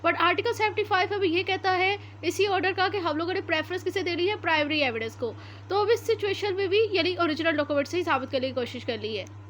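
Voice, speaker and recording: A woman's voice: very high voice, lively intonation, fairly bright tone, slight background noise. A woman with a Pakistani accent giving an explanatory law lecture, methodical and mixing in English terms as she goes point by point. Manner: methodical, reads formal text slowly then explains it point by point; mixes English terms into Urdu.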